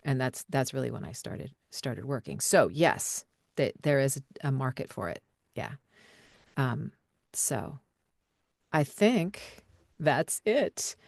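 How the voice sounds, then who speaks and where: A woman voiceover coach answering questions live over a video call, relaxed and conversational, with frequent fillers and light laughs. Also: very deep voice, takes it slowly, vocal fry, pauses often, no room sound, studio quality.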